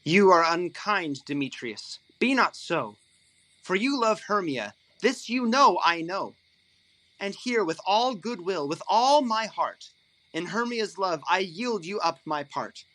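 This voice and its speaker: An actor playing an ardent young lover with a cutting streak in a Shakespearean audio drama, declaiming verse earnestly. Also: male voice, high voice, animated, measured pace, fairly bright tone, poor audio.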